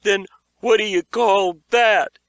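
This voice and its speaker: A man's voice: high voice, steady tone, slow, gravelly, a bit bright, clear, resonant tone, no echo, pitched above the performer's own voice, heavily acted. A man voicing a scared, whimpering young boy in a children's horror story, exclaiming fearfully. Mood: panicked. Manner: stammering, exclaiming in fear. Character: terrified young boy, jumpy and whimpering best friend.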